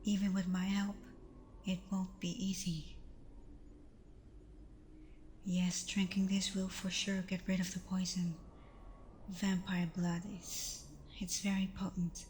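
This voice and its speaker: Vampire girlfriend, ASMR roleplay, female performer: hushed, breathy, tender but scolding, very unhurried, with audible background noise. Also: mid-range voice, completely flat delivery, natural voice. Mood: solemn, reluctant. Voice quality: soft, breathy half-whisper. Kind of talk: ASMR roleplay, close intimate acting.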